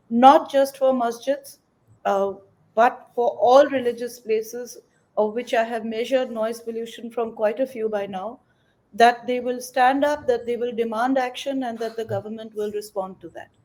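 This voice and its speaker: Woman, middle-aged or older, activist guest, mellow tone, slightly high pitch, measured, explanatory. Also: low-quality recording. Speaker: environmental activist, interview guest. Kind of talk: interview answers.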